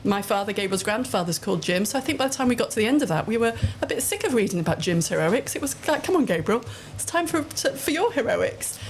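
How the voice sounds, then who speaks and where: A woman's voice: on the high side, big pitch swings, fast talker, hardly any pauses, a little hiss or hum. A middle-aged woman giving articulate, anecdotal answers as a guest in a live interview. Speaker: interview guest, children's storytelling project leader.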